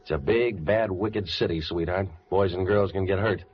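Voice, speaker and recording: A man's voice: deep voice, normal speed, breathy edge. An actor in a 1940s radio noir drama playing a cynical, wisecracking tough guy who gives slangy, sardonic orders. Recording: dull lo-fi vintage radio recording.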